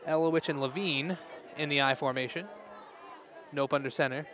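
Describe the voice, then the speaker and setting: A man calling a high school football game play by play outdoors, chatty and quick to laugh, with his voice going hoarse. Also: steady tone, a bit bright. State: losing his voice. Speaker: play-by-play announcer. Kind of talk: live football play-by-play commentary. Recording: crowd noise in background. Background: crowd noise.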